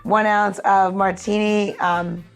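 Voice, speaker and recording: A woman's voice: mid-range voice, level tone, slow, soft background music. A woman co-hosting a podcast in a casual, chatty, playful way, walking through things like a bartender. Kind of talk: casual chat with recipe walk-through.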